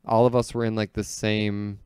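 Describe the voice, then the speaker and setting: A man's voice: fairly low pitch, level tone, moderate pace, a little mellow, clear, resonant tone, no room sound. An American man telling stories in casual, comedic podcast chat, joking and peppering his talk with "like".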